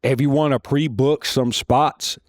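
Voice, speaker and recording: A man in his thirties with a loud, deep voice, speaking bluntly and casually as an interview guest. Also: fairly even intonation, a little slow, dry recording, studio quality. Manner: blunt and frank, swears casually. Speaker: comedy club co-owner, guest.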